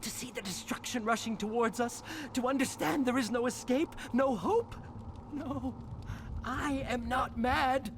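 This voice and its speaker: A man's voice: extremely high pitch, a little slow, noisy background. A man playing an unhinged, feverish research assistant in a video game, prophesying doom in a theatrical, portentous manner. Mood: defiant, feverish. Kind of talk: dramatic video game dialogue. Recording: loud game music under dialogue. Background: music.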